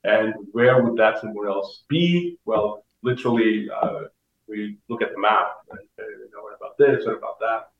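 A middle-aged man with a Portuguese accent explains things conversationally as an interview guest, in a deliberate way, repeating phrases for emphasis. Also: lowish voice, highs cut off, a little hiss or hum. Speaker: cork industry representative, podcast guest. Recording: roomy, distant mic, faint music.

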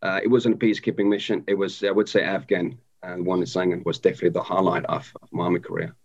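A man's voice: low-pitched, level tone, fast, vocal fry. A man from Africa, a guest telling his story in a casual interview, hesitant with many ums and restarts. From South Africa. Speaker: guest, army veteran. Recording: dull lo-fi sound.

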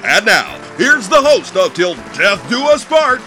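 An actor playing a booming, showy television game show announcer, introducing a show. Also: male voice, very animated, unhurried. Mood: excited, showy. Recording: music and studio crowd behind.

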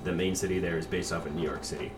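A man's voice: sits low, steady tone, racing. A man in his twenties hosting a casual podcast chat, explaining at length and laughing often. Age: 20s. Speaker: podcast host. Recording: distant mic with clear background noise.

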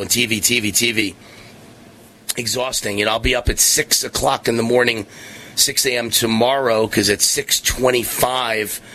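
A middle-aged man hosting a talk radio show, hoarse and losing his voice, rambling and repeating himself with many fillers. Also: mid-range voice, pushed and bright, a little hiss or hum. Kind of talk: casual monologue on talk radio.

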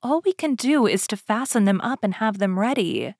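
A woman voicing a gentle, brave young woman in a storybook reading, in a sweet, lifted tone. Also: animated, normal speed, no echo, clean studio sound. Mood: sweet, earnest. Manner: sweet, lighter and higher.

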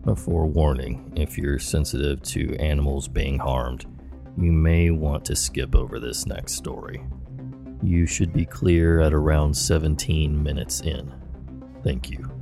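A man narrating scary stories in a measured, low-key scripted delivery. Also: fairly even intonation, gravelly, music in the background. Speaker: horror story narrator.

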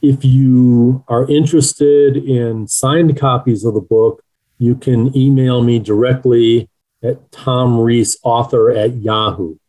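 Mellow-voiced man answering interview questions. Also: medium pitch, steady tone, slow pace, poor audio. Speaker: author being interviewed.

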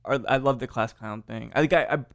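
A man's voice: medium pitch, brisk, creaky, fairly bright tone. A man hosting a casual chat, agreeable and slangy, jumping in often. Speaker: podcast host.

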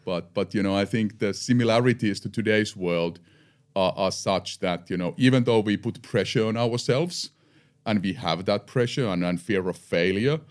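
A man's voice: lowish voice, normal speed. A middle-aged man from Finland with a Finnish accent, speaking English as a second language as a podcast guest, reflective and often restarting his words. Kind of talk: podcast interview.

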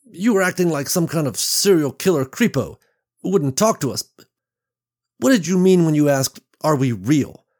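A man's voice: on the high side, lively intonation, moderate pace, few pauses, mellow, pitched above the performer's own voice. A man voicing a fierce, protective mother in an audiobook, speaking sharply and threateningly with a raised voice. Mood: angry, defiant. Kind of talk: audiobook character voice.

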